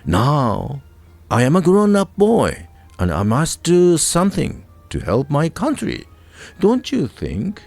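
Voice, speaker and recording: A man voicing a brave, polite fifteen-year-old folk hero in a storybook, earnest and deliberate. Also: on the high side, huge pitch swings, gravelly, darkish tone, dry recording, soft background music, voice pushed up, exaggerated voice. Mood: earnest, resolute. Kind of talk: storybook character voice.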